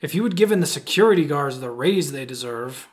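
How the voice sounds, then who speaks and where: A man's voice: fairly high pitch, animated, brisk, close to an everyday voice. A man playing an earnest, defensive police commissioner in an audio drama, firm and protesting. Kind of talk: fan-made audio drama acting.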